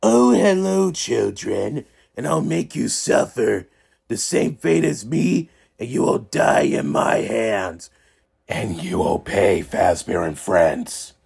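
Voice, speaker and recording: A sinister horror game villain played by a man, vengeful and menacing. The voice is very gravelly and husky, with slow delivery. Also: lowish voice, big pitch swings, darkish tone, full character voice. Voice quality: gravelly, raspy. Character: vengeful sinister male killer inside animatronic rabbit suit.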